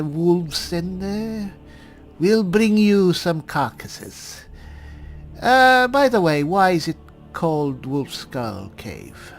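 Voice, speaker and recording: A man voicing a polite, bumbling cleric adventurer in in-character gameplay commentary, halting and musing. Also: high voice, heavily acted. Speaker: roleplaying game player.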